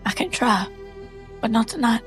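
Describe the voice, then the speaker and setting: A woman playing a gentle, earnest young woman who is a reluctant heroine. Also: fairly high pitch, expressive, moderate pace, music in the background, natural voice. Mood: resolute, tired.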